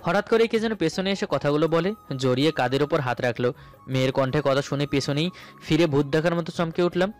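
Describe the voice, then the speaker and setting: A man's voice: a little high, a little fast. A man narrating a romantic story dramatically in the first person, as its male lead. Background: background music.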